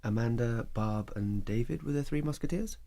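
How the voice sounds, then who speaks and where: A man acting in an audio drama as a soft-spoken therapist who asks calm, insistent questions. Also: medium pitch, moderate pace, creaky, close to an everyday voice. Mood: probing.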